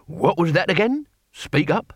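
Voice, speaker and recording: A man putting on an indignant older thief who lectures his clumsy apprentice, his voice climbing higher and higher as he scolds. The tone swings widely, and the reading is dry and close. The exasperation is laid on with exaggerated politeness. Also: sing-song, a little slow, no room sound, heavily acted. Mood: scolding. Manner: scolding, with mock courtesy. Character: indignant middle-aged male thief master.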